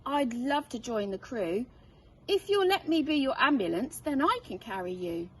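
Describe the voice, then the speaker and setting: A woman's voice: high-pitched, sing-song, moderate pace, breathy edge, heavily acted. A woman voicing an eager, clumsy young male dragon in a children's storybook, exclaiming with childlike keenness. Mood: keen. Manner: bright-eyed, eager, exclamatory. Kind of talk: children's storybook read-aloud. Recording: distant mic, faint noise.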